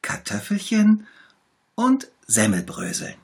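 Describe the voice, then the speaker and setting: A man's voice: sits high, wildly swooping pitch, slow, gravelly, slightly rough, voice pushed up, heavily acted. A man doing a whiny little sick tiger cub. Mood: whiny, sickly. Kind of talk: storybook reading.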